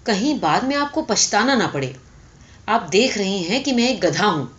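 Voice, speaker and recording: A woman's voice: mid-range voice, very animated, highs cut off, natural voice. Woman voicing a timid, astonished male donkey suitor. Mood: anxious, doubtful. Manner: hesitant, stammering questions.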